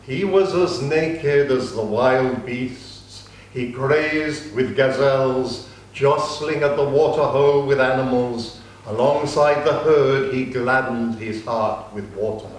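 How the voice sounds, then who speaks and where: A man narrating an ancient epic in a measured, solemn dramatic reading. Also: mid-range voice. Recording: distant mic, echoey room.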